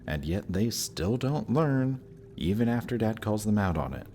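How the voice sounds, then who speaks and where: A man's voice: fairly low pitch, lively intonation, moderate pace, warm tone, slight background noise. A man playing a death god's smug, lovestruck young son in a romantic audio roleplay, talking casually and teasingly to the listener. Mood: persuasive, casual.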